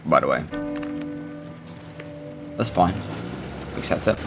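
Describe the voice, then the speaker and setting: A man giving casual, rambling and halting gameplay commentary, blunt and sweary as host of a gaming video. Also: rumbling low, animated, over loud music.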